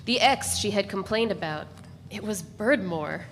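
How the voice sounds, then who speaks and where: A woman narrating literary fiction in a live dramatic reading, measured and deliberate with dramatic pauses. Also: mid-range voice. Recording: reverberant hall, faint noise.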